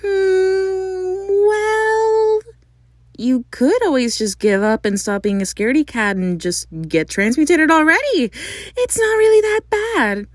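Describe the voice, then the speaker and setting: A woman's voice: very high voice, animated, takes it slowly, no room sound, studio quality, exaggerated voice. A woman voicing a cheery, playfully teasing teenage monster girl in an amateur fandub. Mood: teasing, coaxing.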